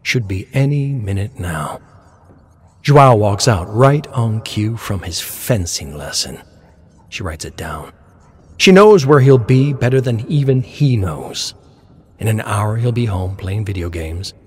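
A man reading game lore as dramatic scripted narration, in a deliberate storytelling manner with dramatic pauses. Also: on the low side, big pitch swings.

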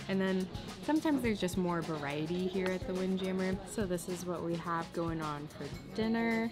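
A woman in her twenties chatting casually to camera as a vlog host, with an upbeat, exclaiming manner. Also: on the low side. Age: 20s. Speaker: vlog host, cruise ship crew member. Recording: music behind the voice. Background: background music.

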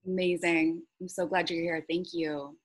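A woman's voice: on the low side, little pitch movement, normal speed, husky. A woman hosting an online group workshop on a video call, speaking informally in an encouraging, affirming way. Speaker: workshop host.

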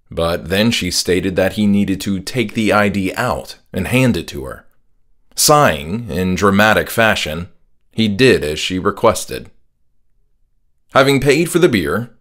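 A man narrates a horror audiobook in the first person. His voice sits on the low side, and he reads from a script as the author of the story. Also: fairly bright tone.

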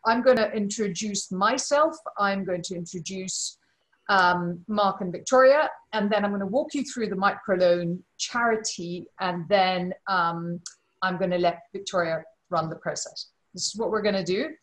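A woman's voice: medium pitch, normal speed, low-quality recording. A middle-aged woman from Malawi, introducing an online event informally in an effusive, emphatic way, on a video call from her living room. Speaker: webinar host and charity board chair. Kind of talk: informal spoken introduction and charity appeal. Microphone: video call computer microphone.

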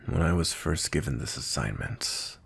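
A man playing a cold, grumpy vampire knight captain in an intimate ASMR roleplay, with a breathy, husky voice and a brooding manner. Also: extremely low pitch, lively intonation, normal speed, a little hiss or hum, full character voice. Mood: stern, reserved. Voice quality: breathy, husky, often near whisper.

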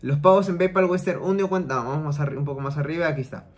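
A man's voice: a little high, fairly even intonation, fast talker. A young man hosting a casual screen-share tutorial, chatty and explaining each step with filler tags, recorded in a small room at home. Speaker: online money tutorial host.

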